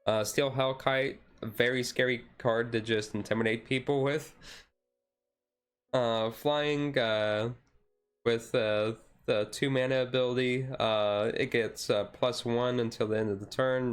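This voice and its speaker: A man giving casual commentary on a card deck as a streamer, thinking aloud in a halting way. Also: medium pitch, fairly even intonation, clean tone, faint music behind.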